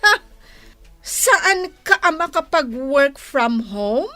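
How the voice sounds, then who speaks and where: High-voiced suspicious Ilocano wife. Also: female voice, sing-song, very slow, pushed and bright, no echo, soft background music, full character voice. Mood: angry, confronting. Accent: Filipino, Ilocano. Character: suspicious wronged wife and mother, fed up with husband. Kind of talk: Ilocano radio drama acting.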